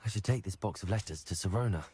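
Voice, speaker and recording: A man's voice: sits low, fast, dark tone, a little hiss or hum, natural voice. An actor playing an earnest, polite young male wizard student in a video game. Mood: earnest, thoughtful. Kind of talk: scripted video game acting.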